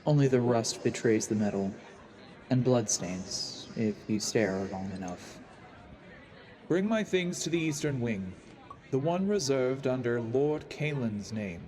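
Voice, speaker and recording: A man playing a coldly calculating assassin who poses as a lord, narrating gravely and deliberately in a fantasy audio drama. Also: mid-range voice, expressive, a little mellow, lightly acted. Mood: courteous, commanding.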